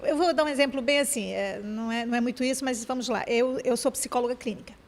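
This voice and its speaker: High-voiced woman talking fast with restarts. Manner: fast, searching speech with many restarts. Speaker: program co-host.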